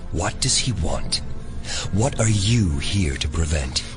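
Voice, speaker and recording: An actor playing a calm, menacing telepath in an audio drama, measured, cryptic and condescending. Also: male voice, low-pitched, music in the background. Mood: quietly goading. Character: calm, menacing male telepath, coldly probing. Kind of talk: immersive audio drama acting.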